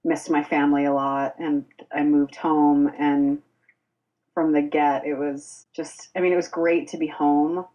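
A woman's voice: low-pitched, level tone, unhurried, dark tone, highs cut off. A young woman from Boston, a music blogger, chatting casually as a podcast guest with lots of fillers and restarts. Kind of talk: casual interview chat.

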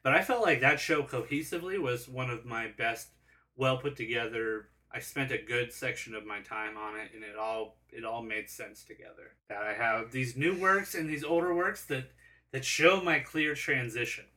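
Bright-voiced candid podcast host man. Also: medium pitch, moderate pace. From Southern California. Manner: candid, self-deprecating, swears casually. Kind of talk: casual podcast chat. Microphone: single shared podcast mic.

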